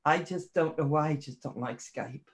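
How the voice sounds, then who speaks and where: A woman chatting casually on a livestream, talkative and quick to tease herself. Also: sits low. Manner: chatty, self-deprecating and teasing.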